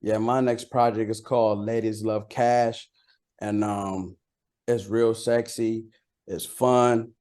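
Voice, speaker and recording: A man's voice: lowish voice, flat delivery, slow, a little mellow. A man from Costa Rica, a native Spanish speaker, chatting casually as an interviewed rapper, slangy and repeating words for emphasis.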